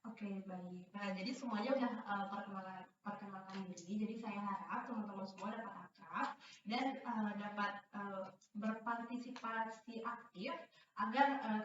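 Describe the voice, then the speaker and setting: A young woman leading a group counseling session in a teacherly way, with many hesitant fillers and frequent checks that everyone understands. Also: mid-range voice. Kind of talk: classroom-style instruction. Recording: echoey room, distant dull mic, faint noise.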